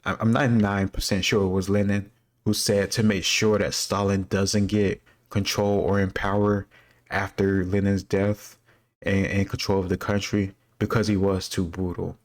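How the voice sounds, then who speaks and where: A man's voice: deep voice, level tone, moderate pace. A man giving casual, explanatory commentary and thinking aloud as he answers quiz questions, opinionated and repeating himself for emphasis.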